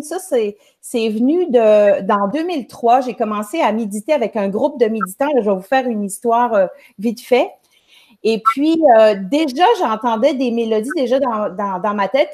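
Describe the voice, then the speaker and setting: Chatty Québécoise woman, bright higher voice. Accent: Québécois French. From Quebec. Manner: chatty, rambling, many restarts. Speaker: guest, music teacher. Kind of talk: casual storytelling on a live show.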